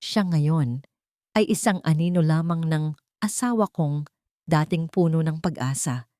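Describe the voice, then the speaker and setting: A woman narrates an emotional audio drama in the first person. Her voice is a little low for a woman, and the scripted delivery is dramatic. The recording is very dry, with no room sound. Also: a little slow, mellow, dry recording. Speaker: story narrator.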